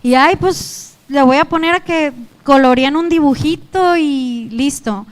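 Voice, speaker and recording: A young Mexican woman preaches to her congregation in an earnest, pleading way. Her voice sits high, and her Mexican Spanish accent is clear. She keeps turning back to address her listeners directly. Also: measured pace. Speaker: youth pastor. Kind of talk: sermon.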